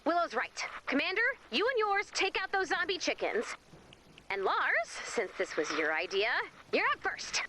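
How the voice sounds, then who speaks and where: An actress playing a quirky, upbeat young team leader in a video game, chatty and animated with comedic delivery. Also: female voice, sits high, normal speed, noisy background. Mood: theatrical. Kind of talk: video game character voice acting.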